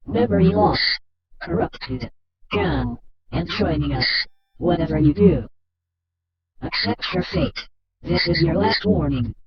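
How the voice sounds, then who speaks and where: A man voicing a menacing, corrupted dark entity that issues threatening, commanding warnings in a horror emergency broadcast. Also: high-pitched, wildly swooping pitch, takes it slowly, creaky, long pauses, muffled recording, exaggerated voice.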